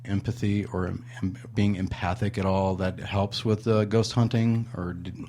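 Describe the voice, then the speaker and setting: A man's voice: low-pitched, flat delivery, moderate pace, dark tone, slight background noise. A man hosting a radio talk show from a studio in a historic house, rambling with lots of fillers and a sarcastic streak. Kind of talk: casual radio chat.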